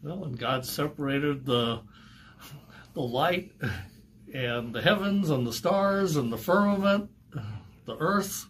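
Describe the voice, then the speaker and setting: An older man, a pastor, giving brief and unhurried answers in a small office. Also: a little high, lively intonation, fairly bright tone, a little hiss or hum. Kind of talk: casual interview answers.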